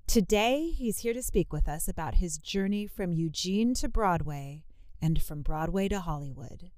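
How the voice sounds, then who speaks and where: A woman's voice: fairly low pitch, lively intonation, normal speed, a little mellow, no room sound, clean studio sound. A woman presenting a scripted introduction for a show's guest.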